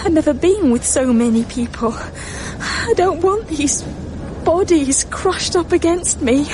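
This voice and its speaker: An actress playing an anxious, claustrophobic, genteel woman who fretfully talks to herself in a radio drama. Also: animated. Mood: panicked, distressed. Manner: fretful, halting, talking to herself. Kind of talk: radio drama interior monologue. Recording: noisy train rumble behind the voice.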